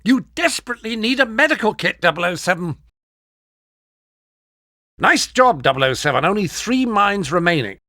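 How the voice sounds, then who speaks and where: An older British quartermaster in a video game, high in pitch and swooping in intonation. He is pompous and exasperated, nagging in clipped, sardonic phrases. The sound is close and dry. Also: sing-song, moderate pace, pauses often, pushed and bright, dry recording, heavily acted. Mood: exasperated, scolding. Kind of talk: scripted video game mission briefing.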